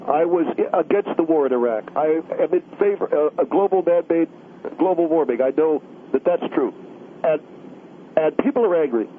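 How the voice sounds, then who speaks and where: A man's voice: a little high, moderate pace, lightly acted. A man voicing a smug, pompous, self-righteous older male pundit who is a guest on a call-in radio show, heard over a telephone line. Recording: tinny phone line with faint hiss.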